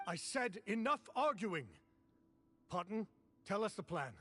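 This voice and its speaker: A man's voice: high-pitched, sing-song, a little slow, pushed and bright, soft background music, full character voice. A man playing a pragmatic, no-nonsense gang member who keeps order in a video game. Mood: impatient. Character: firm, pragmatic peacemaking male gang member. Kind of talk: video game dialogue acting.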